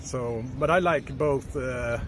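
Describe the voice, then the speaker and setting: A man, talking casually to an interviewer, easygoing and halting with many fillers. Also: mid-range voice. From Sweden. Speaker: tourist interviewee. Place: outdoors by a busy street. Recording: street traffic noise behind.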